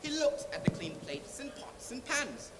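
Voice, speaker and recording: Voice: sits high, very animated, a little slow, reverberant. A teenager with a Thai accent narrating a story dramatically on stage. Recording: noisy live stage recording.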